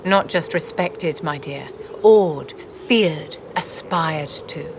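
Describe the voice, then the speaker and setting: An actress voicing an elegant, imperious court lady in a fantasy game, measured, haughty and calculating. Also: low voice. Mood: poised, haughty. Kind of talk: dramatic video game acting. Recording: clearly noisy ambient background.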